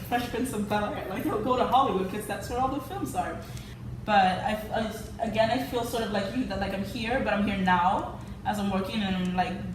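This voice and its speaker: A young woman from Sweden talking casually and rambling a little, with many "like" fillers. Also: mid-range voice. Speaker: actor, co-host. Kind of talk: casual chat. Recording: echoey room, mic far off, noisy.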